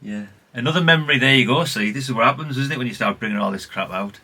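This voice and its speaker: A man's voice: medium pitch, fast, sharp tone, a little hiss or hum. A middle-aged British man talking casually in a rambling, chatty show-and-tell way, often correcting himself and adding tag questions. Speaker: hobbyist video host.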